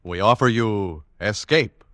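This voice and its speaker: An actor as a bold, formal program announcer, declaiming in 1940s radio style. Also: male voice, fairly low pitch, takes it slowly, a bit bright. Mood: bold, dramatic. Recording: vintage radio broadcast with music.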